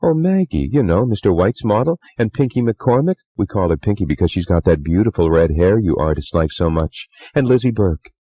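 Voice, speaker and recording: A man's voice: low voice, animated, a little fast, hardly any pauses, breathy edge, voice pushed up. A man voicing a merry young woman, an artist's model who chatters and gossips, in a muffled, lo-fi recording. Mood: cheerful.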